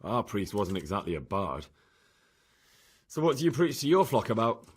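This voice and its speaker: Young medieval man, husky, very varied intonation, inquisitive, blunt, game dialogue. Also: lowish voice, very animated, moderate pace. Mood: curious. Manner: direct, questioning. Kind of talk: dramatic video game dialogue.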